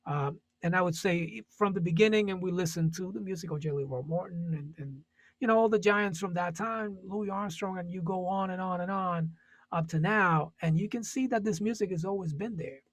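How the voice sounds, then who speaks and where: A man from Puerto Rico speaks in a husky, slightly raised voice, thinking aloud about his music. He circles back and restarts often, using fillers as he searches for words. The sound is close and dry. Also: sits high, moderate pace, no echo. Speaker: jazz musician, panelist. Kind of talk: casual panel discussion.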